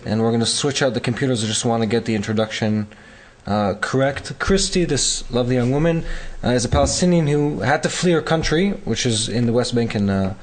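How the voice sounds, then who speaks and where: A man's voice: medium pitch, a little fast, hardly any pauses. A man hosting an event, introducing the next speaker with frequent hesitant fillers.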